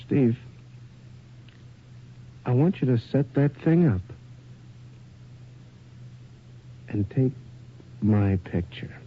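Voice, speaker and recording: Anxious, husky photographer, dull sound. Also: male voice, mid-range voice, lively intonation, slow pace. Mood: grave, determined. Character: anxious male photographer, bossy toward his partner. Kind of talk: naturalistic radio drama acting. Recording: dull, lo-fi old radio recording.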